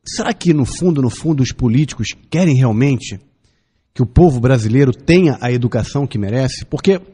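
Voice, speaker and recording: A Brazilian man in his fifties delivers a radio opinion piece, pressing his case with repeated phrases and pointed questions. His voice is somewhat husky and warm in tone, and it rises and falls a great deal as he argues. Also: fairly high pitch. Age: 50s. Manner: emphatic, builds arguments with repetition and rhetorical questions. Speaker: radio commentator. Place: radio studio.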